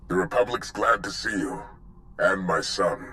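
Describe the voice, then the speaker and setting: Forceful, stubborn older military man. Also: low voice, animated, unhurried, exaggerated voice. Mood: defiant. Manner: gruff, forceful, defiant. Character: proud, stubborn older male supreme military commander. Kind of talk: video game cutscene acting.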